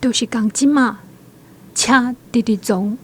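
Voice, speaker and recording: A woman narrates a radio drama in a Taiwanese accent. Her voice sits fairly high, and she tells the story at a very unhurried pace. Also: slightly rough.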